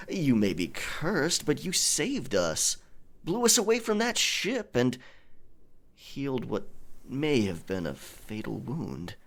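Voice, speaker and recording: A man playing a roguish, gallant pirate captain in an ASMR audio roleplay, with a husky, breathy voice and dramatic, unhurried delivery. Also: medium pitch, heavily acted. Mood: persuasive, bold. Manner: slow, dramatic, hushed at times.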